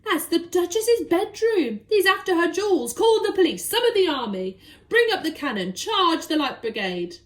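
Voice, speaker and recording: A woman's voice: extremely high pitch, big pitch swings, moderate pace, pitched above the performer's own voice. A woman voicing a blustering, pompous old aristocratic Duke who barks out exclamations in a children's storybook. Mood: alarmed. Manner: pompous, exclamatory, barking orders.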